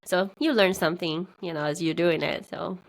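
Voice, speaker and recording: Soft-voiced woman, halting and lowish. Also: steady tone, vocal fry. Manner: halting, with many fillers and restarts. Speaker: interview guest, meetup organizer. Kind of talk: casual interview conversation.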